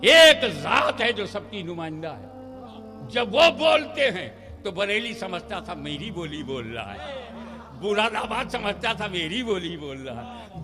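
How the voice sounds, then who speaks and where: A man delivering an impassioned religious speech, shouting rhythmic refrains to a gathering. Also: high-pitched. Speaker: Islamic preacher.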